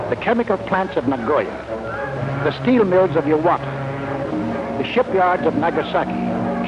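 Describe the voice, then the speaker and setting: Higher-pitched American newsreel man, dull vintage soundtrack. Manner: emphatic, declamatory. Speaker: narrator. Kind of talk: 1940s propaganda film scripted narration. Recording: dull 1940s film soundtrack, music behind.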